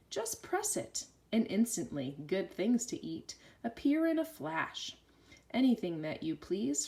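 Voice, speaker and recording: A woman reads a children's picture book aloud in an animated voice. Her pitch moves freely up and down as she follows the bouncy rhymes. The delivery is expressive and sing-song, at an ordinary female pitch. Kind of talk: rhyming children's read-aloud.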